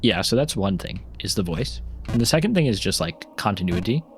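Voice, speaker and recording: A man with a fairly low voice talks his way through a video game, drifting between topics with frequent ums and restarts. His chatter is loose and unscripted, with the odd laugh. Faint music plays underneath. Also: animated, fairly quick. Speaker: gaming YouTuber and novelist. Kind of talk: casual commentary while playing.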